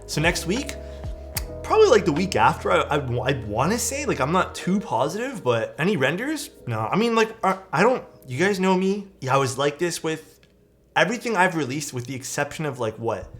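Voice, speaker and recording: A young man, chatting casually as a livestream host, excitable and rambling with lots of "like" and "dude". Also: fairly high pitch, normal speed. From Canada. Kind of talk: casual livestream chat, reading chat messages. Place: his room at home. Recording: speech over background music. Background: background music.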